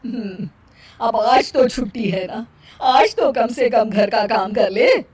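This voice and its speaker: A woman performs an older Indian mother-in-law for a cartoon moral tale. She is weary and blunt, grumbling with sardonic bite in a fairly high voice. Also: animated, takes it slowly, a little mellow, slight background noise. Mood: scolding. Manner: complaining, sardonic, plain-spoken. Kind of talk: cartoon moral story acting.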